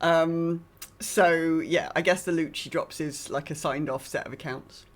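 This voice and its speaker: A woman's voice: low-pitched, fairly even intonation, unhurried. A woman chatting casually as a podcast co-host. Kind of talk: casual chat.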